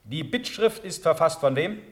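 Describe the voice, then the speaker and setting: An imperious, probing sovereign acted by a man in a classical verse radio play. His voice sits a little high and moves widely up and down as he declaims, commands and questions sharply. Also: animated, normal speed, close to an everyday voice. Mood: stern, probing. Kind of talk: classical German stage verse radio drama.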